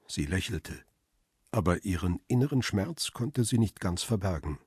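A man playing a middle-aged, reflective photographer who narrates a radio crime drama in a restrained, husky voice. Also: low voice, animated, normal speed, a little mellow, no echo, studio quality, full character voice. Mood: pensive. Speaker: narrator and lead character.

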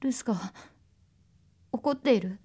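An actor playing a flustered, lovesick young man in an audio drama, halting and breathy as he pleads tearfully. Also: very high-pitched, mellow, full character voice. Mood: sheepish, apologetic. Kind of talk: Japanese audio drama acting. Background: music.

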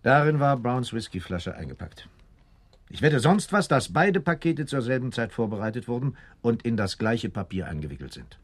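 An actor playing a composed, determined police captain in a radio drama, matter-of-fact and authoritative. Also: male voice, mid-range voice, very animated, moderate pace. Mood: urgent, convinced.

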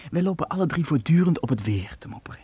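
Keen young lad assistant played by a man, high voice, fast talker, respectful, children's radio play. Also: lively intonation, mellow, husky, dry recording, heavily acted. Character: eager, respectful young male assistant to a professor. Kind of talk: children's audio drama acting.

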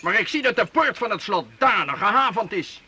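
An actor playing an imperious, stern medieval count with a haughty, formal manner in a radio drama. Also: male voice, high-pitched, animated, normal speed, bright, forceful tone, slightly rough, full character voice. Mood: stern questioning. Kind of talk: historical radio drama acting. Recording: very noisy old radio recording.

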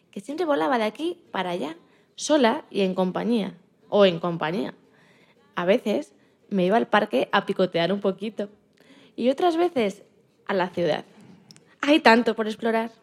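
A woman's voice: a little high, vocal fry, darkish tone. A woman voicing an optimistic rescued female pigeon, telling her story expressively for a children's radio programme. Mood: cheerful, wistful.

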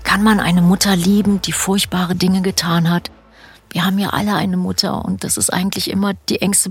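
A woman's voice: on the low side, breathy edge, dry recording, studio quality. A woman presenting a podcast with a scripted introduction, speaking seriously and directly to listeners.

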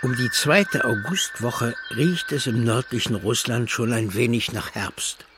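Male narrator for literary prose, medium pitch, faint noise in the background. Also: unhurried.

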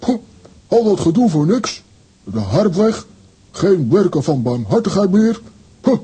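Grumbly character, high n very husky, disgruntled, kids radio play. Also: male voice, sing-song, measured pace, dark tone, heavily acted. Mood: disappointed.

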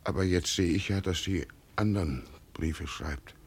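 Radio drama: sorrowful old peasant man, deep, breathy-rough, wistful and slow. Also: exaggerated voice. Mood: brooding, wistful. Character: grief-stricken old peasant father longing for his daughter. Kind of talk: German radio play acting.

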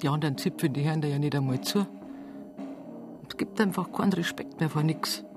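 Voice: medium pitch, fairly quick, music in the background, lightly acted. A performer playing an aggrieved, talkative small-town local with a Bavarian accent in a radio drama, rambling in dialect. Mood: aggrieved, grumbling. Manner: grumbling, rambling dialect talk. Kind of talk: naturalistic radio crime drama acting.

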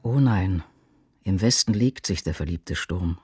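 A man reciting poetry for a radio production in deliberate, declamatory short statements. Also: low voice, lively intonation, a little mellow, slightly rough, full character voice. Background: music.

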